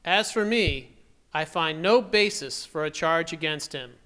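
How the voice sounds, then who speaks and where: High-pitched, commanding Roman governor. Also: male voice, animated, measured pace, sharp tone, clean tone. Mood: exasperated, insistent. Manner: declamatory, projecting, insistent. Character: commanding, exasperated Roman governor addressing a crowd. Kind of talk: dramatised scripture audio acting.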